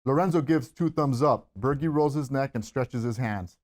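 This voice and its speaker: A man's voice: mid-range voice, normal speed, a little mellow. A man reading out stage directions as scripted narration at a screenplay table read.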